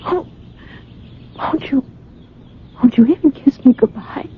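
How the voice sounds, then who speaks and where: A woman's voice: sits high, lively intonation, slow, a little hiss or hum. An actress playing a lovesick, dreamy young woman in a radio drama, breathless and pleading. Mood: pleading, hurt. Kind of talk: radio drama acting with narration. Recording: tinny old radio recording with music.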